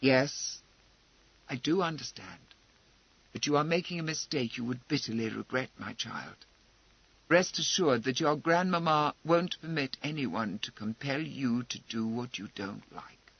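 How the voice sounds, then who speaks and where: A man voicing a calm, kindly gentleman who speaks softly, low and gently. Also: unhurried, gravelly, slightly rough, lo-fi sound, exaggerated voice. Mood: reassuring. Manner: soft, low and gentle, reassuring. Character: calm, kindly gentleman soothing a frightened girl.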